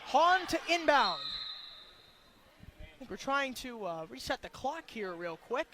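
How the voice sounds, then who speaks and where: A man giving energetic live radio play-by-play of a basketball game in clipped, running descriptions. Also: very high voice, a little slow. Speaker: play-by-play announcer. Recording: live gym broadcast, clear background noise. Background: gym ambience with bouncing basketballs.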